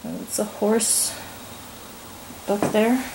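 Female urban explorer, mid-pitched, casual running observations, handheld camera sound with clear background noise. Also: fairly even intonation, slow pace. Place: inside rooms of an empty, abandoned house. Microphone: handheld camera microphone held by the speaker. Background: ambient noise.

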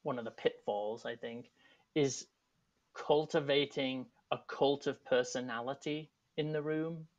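A man in his thirties from England with a softened Yorkshire accent, a teaching artist and artistic director talking casually and thoughtfully on a video call panel, with frequent restarts and asides. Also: medium pitch, fairly even intonation, unhurried. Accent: Yorkshire English, softened for Americans. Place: home room. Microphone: video call, earphones. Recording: dull lo-fi video call audio.

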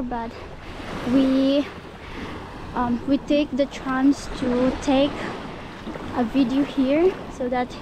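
A young woman from the Philippines, chatting directly to viewers as she walks along a beach with a handheld camera. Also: sits high. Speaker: travel vlogger. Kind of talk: casual walking vlog. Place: outdoors on a beach. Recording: noisy outdoor beach audio. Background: waves and surf.

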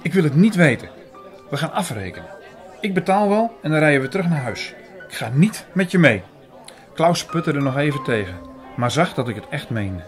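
Actor as a sceptical storyteller, music behind. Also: male voice, a little high, big pitch swings, normal speed. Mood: irritated, firm. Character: sceptical, irritated male narrator recounting his own story. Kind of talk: first-person audio drama narration and dialogue. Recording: music and crowd murmur behind.

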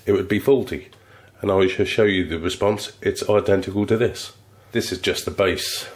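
A man with a stuffy head cold, explaining an amplifier repair in a relaxed, rambling workshop tutorial. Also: deep voice. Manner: relaxed, rambling, restarts phrases. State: congested from a cold. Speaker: electronics repair technician. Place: electronics workbench, indoors.

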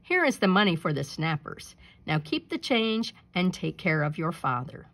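A woman's voice: fairly low pitch, big pitch swings, moderate pace, fairly bright tone. A woman voicing a rich, generous old male merchant in a storybook, speaking in an emphatic, marvelling manner.

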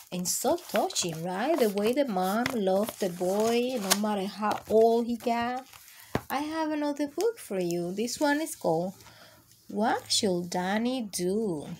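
Slow-paced woman reading a storybook. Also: mid-range voice, a little mellow, a little hiss or hum. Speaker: children's storytime host. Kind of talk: storybook read-aloud with asides to children.

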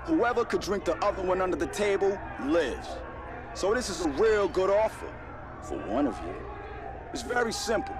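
An actor playing a sadistic, mock-friendly gang thug who taunts captives in a video game. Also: male voice, high-pitched, very animated, moderate pace, music in the background, a crowd in the background. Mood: mocking menace. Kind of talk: video game dramatic acting.

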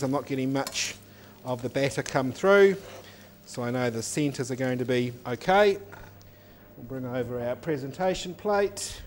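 A man in his forties talks through a recipe step by step while he cooks in a studio kitchen. His voice has an ordinary middle pitch and is picked up by a lapel mic, with faint frying and sizzling behind him. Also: a little hiss or hum. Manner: explaining step by step while cooking. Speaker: cooking teacher. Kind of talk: cooking demonstration. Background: oil sizzling in a pan.

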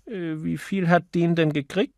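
A man's voice: a little high, fairly even intonation, moderate pace, creaky, a little mellow, dry recording, clean studio sound, voice pushed up. A man voicing a plain-spoken, mildly scornful young female typist in an audiobook, gossiping matter-of-factly. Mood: wry gossip. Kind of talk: audiobook character voice.

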